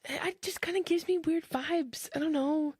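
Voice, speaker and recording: A woman's voice: high voice, normal speed, over a noisy crowd. A woman improvising in a comedic roleplaying game. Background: guitar music.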